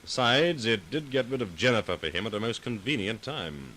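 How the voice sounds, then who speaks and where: An actor playing a brave, confident amateur sleuth in an earnest 1940s radio drama. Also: male voice, lowish voice, animated, fairly quick, sharp tone. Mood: explaining. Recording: faintly noisy vintage 1940s radio recording.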